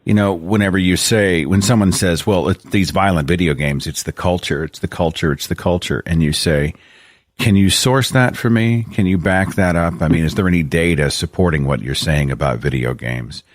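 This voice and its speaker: A man's voice: low voice, moderate pace, dry recording, clean studio sound. A man with an Oklahoma accent hosting a call-in show, talking in a conversational, emphatic way. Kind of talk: radio-style call-in talk.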